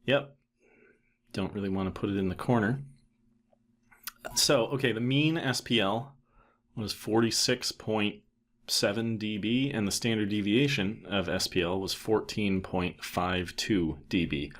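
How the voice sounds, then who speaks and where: A man in his thirties giving a casual, matter-of-fact software tutorial, thinking aloud as he goes. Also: fairly low pitch, measured pace. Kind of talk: casual screen-recorded tutorial narration.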